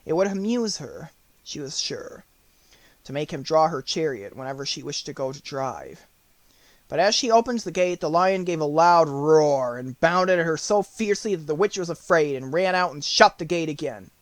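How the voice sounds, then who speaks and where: A man narrates a storybook audiobook. His voice is on the high side, and he reads in measured, neatly phrased sentences. The sound is very dry, with no room around it. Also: lively intonation, no echo.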